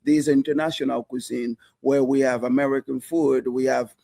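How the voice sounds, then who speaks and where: A chef from South Africa talks in a halting way, full of fillers and restarts. His voice has a gravelly edge. The sound is dry and close. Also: medium pitch, level tone, warm tone, no echo. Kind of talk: casual TV interview chat.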